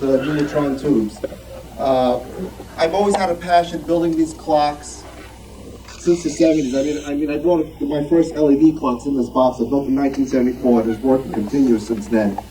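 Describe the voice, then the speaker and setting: A middle-aged man giving an informal show-and-tell talk, in a halting way that repeats words. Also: on the high side. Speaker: club member presenting his collection. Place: meeting room with audience, recorded from a distance. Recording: distant mic in busy club meeting.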